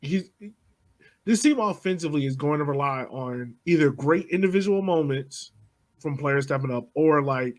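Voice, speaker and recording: A man co-hosting a casual sports podcast, easygoing, asking the others questions and pushing back gently. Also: a little high, expressive. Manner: easygoing, leads with questions, measured pushback. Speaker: podcast co-host.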